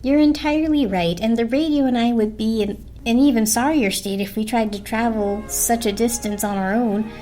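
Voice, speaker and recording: A woman's voice: on the high side, normal speed, hardly any pauses, dark tone, soft background music, lightly acted. A woman voicing a sweet, determined little toaster with a reasoning, persuasive manner in a storybook reading. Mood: diplomatic. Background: orchestral film score.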